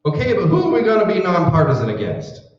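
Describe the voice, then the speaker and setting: A man's voice: high voice, wildly swooping pitch, fast, a little hiss or hum, full character voice. A man playing a blustery, folksy, self-important husband in a 1940s radio comedy. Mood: brisk, blustery. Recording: muffled, echoey room, far from mic.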